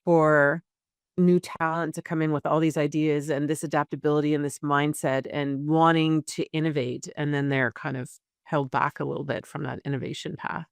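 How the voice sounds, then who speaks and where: A middle-aged woman hosts an interview with a gravelly, fry-tinged voice. She chats in an easy, encouraging way. The recording is dry and close. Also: low voice, flat delivery, moderate pace, dry recording. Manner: conversational, encouraging, with frequent fillers and restarts. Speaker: podcast host. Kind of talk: casual interview chat.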